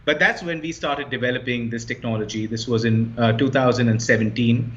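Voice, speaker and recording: A man of medium pitch speaks fluently and with confidence, stressing his numbers and points as he lays out a business problem. The sound is a little dull and lo-fi. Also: fairly quick, a bit bright. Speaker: startup co-founder, guest. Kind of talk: explaining his business in an interview.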